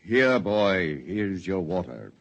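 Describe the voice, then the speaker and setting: A man's voice: low voice, very animated, slow pace, creaky, dull audio, full character voice. A man playing a solemn old prophet who leads refugees in a 1950s radio drama, speaking in biblical pronouncements. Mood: gentle, solemn. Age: older.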